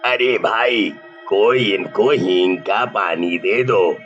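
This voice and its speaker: A man's voice: on the low side, slow pace, a bit bright, soft background music. A man voicing a concerned villager in a cartoon story, exclaiming, with muffled sound.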